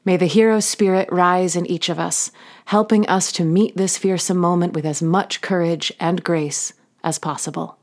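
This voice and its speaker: A woman hosting an audio drama, reading a scripted outro and credits in a measured, earnest way. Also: fairly low pitch, steady tone, a little mellow. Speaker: host and narrator of an audio drama podcast.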